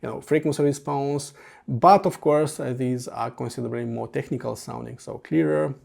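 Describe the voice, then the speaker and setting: Gravelly Romanian-accented man reviewing headphones. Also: medium pitch, moderate pace, a little mellow. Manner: earnest, methodical, with frequent uh fillers. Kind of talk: scripted product review voice-over.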